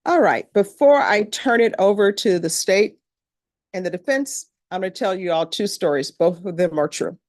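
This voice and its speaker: A woman's voice: medium pitch, lively intonation, moderate pace, a little mellow, no room sound, studio quality. A middle-aged woman from Louisiana with a Louisiana accent, a judge speaking to a jury panel in a courtroom in a plain, conversational storytelling manner.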